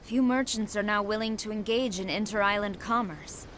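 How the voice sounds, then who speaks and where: A woman's voice: mid-range voice, moderate pace, fairly bright tone, slight background noise, natural voice. Woman playing a stern military commander. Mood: firm. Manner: firm, formal, businesslike. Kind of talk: video game voice acting.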